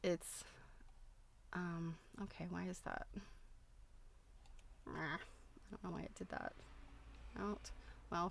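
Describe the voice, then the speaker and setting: A woman's voice: mid-range voice, no echo. A woman hosting a casual livestream, chatting enthusiastically and thinking aloud as she walks through websites. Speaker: host of a craft livestream.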